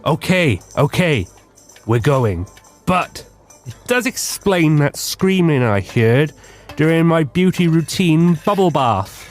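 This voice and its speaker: A man plays a dim-witted, excitable gentleman detective in a radio comedy. He blusters pompously in a fairly high male voice that swings up and down, with music underneath. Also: slow. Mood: breezy. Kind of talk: comic radio drama acting.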